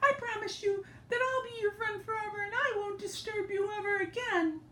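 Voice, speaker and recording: A grandmother doing a squeaky frightened mouse. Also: normal speed, bright, forceful tone. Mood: pleading. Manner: squeaky, trembling pleading. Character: tiny terrified male mouse, pleading. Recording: echoey room, faint background noise.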